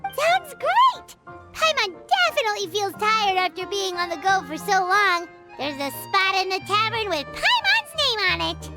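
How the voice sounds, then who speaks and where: A woman voicing a tiny, cheerful, childlike fairy companion with a squeaky, perky voice in an English game dub. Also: big pitch swings, normal speed, loud music behind the voice. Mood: perky, playful. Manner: chirpy, perky, emphatic. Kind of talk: English game dub, cartoonish fantasy acting.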